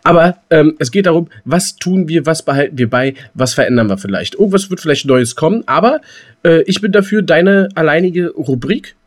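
A man's voice: on the high side, expressive, brisk. A male podcast co-host talks. His delivery is casual and playful, and he tends to repeat phrases as he goes. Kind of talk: casual banter.

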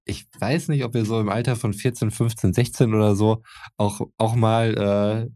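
Low-voiced man chatting casually, dry sound. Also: steady tone, moderate pace, dark tone, dry recording. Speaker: podcast host recapping an audio drama. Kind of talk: casual chat, amused retelling.

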